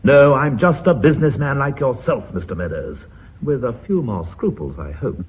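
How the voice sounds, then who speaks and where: An actor playing an authoritative, coolly confident British company chairman in a radio drama, speaking in a measured, formal, drily ironic way. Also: medium pitch, animated, slightly rough. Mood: cool, assertive. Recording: muffled vintage radio drama recording.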